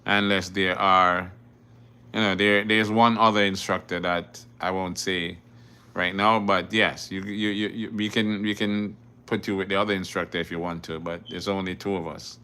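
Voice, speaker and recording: A man's voice: low-pitched, flat delivery, normal speed, bright, forceful tone, clean tone. A middle-aged man from Jamaica, a driving instructor, hosting a casual live Q&A where he reads viewer questions aloud and answers them plainly. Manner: repeats words and restarts often. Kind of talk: casual livestream Q&A.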